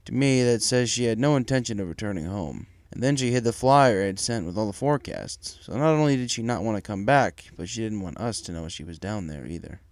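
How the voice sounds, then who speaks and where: A man playing a worried pony father and husband, hesitant and measured, in a dramatic story reading. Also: on the low side, a little mellow, no echo, natural voice. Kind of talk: dramatic fan fiction audio reading.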